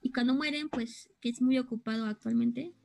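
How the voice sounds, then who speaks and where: Young woman with a high voice, relaxed conversational co-host who searches for words. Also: normal speed, gravelly. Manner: chatty, searches for words, trails off. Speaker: co-host explaining anime terms. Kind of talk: casual chat.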